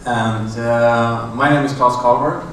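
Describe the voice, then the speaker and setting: A middle-aged man giving a conference talk in a large hotel room, halting with many fillers and restarts. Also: mid-range voice, fairly even intonation, a bit bright. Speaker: conference presenter. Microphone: room audio from a far camera. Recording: echoey, distant room recording with noise.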